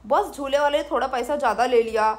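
An easygoing young uncle, acted by a woman, explains himself apologetically. The voice drops lower, yet stays light, for a children's doll tale. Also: fairly quick, close to an everyday voice. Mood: apologetic.

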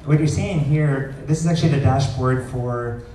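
A man presenting a live software demo at an indoor meetup, explaining informally with frequent pauses, picked up by a distant camera. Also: medium pitch. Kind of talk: live product demo talk. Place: large wood-ceilinged meetup room, indoors. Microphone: far camera recording the room. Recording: roomy, distant pickup with faint noise.

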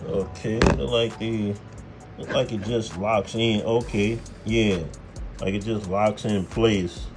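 A man's voice: fairly low pitch, steady tone, slow. An American man giving a casual hands-on how-to, folksy and thinking aloud as he works. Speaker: host, car mechanic. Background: music.

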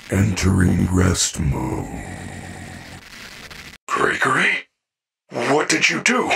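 Friendly game robot bear, earnest, formal, bright forceful tone, gravelly edge. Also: male voice, medium pitch, exaggerated voice, poor audio. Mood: weary, worried, then reassuring, instructive. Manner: formal, precise diction without contractions, earnest. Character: friendly, protective animatronic bear, earnest and gentle. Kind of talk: video game character acting.

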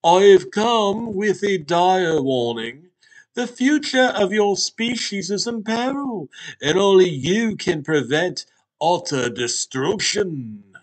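A man's voice: high voice, expressive, slow pace, pitched above the performer's own voice, poor audio. A man voicing a solemn, prophetic talking snack pastry, male, with a slight English accent and grand, archaic phrasing.

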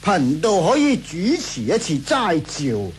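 A man playing a sly old Taoist priest in a radio drama, flattering and theatrical with drawn-out words. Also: fairly high pitch, a little hiss or hum. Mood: sly flattery. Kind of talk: Cantonese radio drama acting, 1980s.

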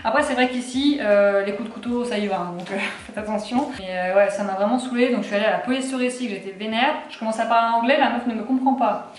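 A woman in her twenties from France with a French accent, chatting casually for a vlog in an expressive, slangy, sweary way. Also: fairly high pitch, fast, reverberant, slight background noise. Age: 20s. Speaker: vlogger. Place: small apartment kitchen and living room, indoors.

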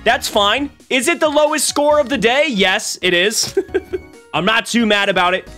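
A man's voice: very high-pitched, unhurried, sharp tone, faint music behind. A man in his twenties streaming from a small room at home into a desk mic, joking energetically and laughing often. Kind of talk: casual live-stream commentary. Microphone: desk mic on boom arm.

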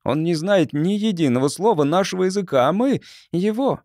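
Man reading as excitable business partner, high-pitched. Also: normal speed, no echo, clean studio sound. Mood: exasperated.

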